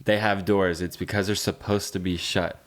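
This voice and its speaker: Low-voiced man chatting as a podcast co-host. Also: little pitch movement, moderate pace, background noise. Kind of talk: casual chat.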